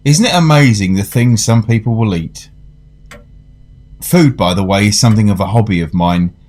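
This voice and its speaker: A man playing an urbane food lover, narrating a radio tale in a measured, wry manner. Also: fairly low pitch. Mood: wry, genial. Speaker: narrator and actor in a radio play. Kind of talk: dramatised first-person storytelling.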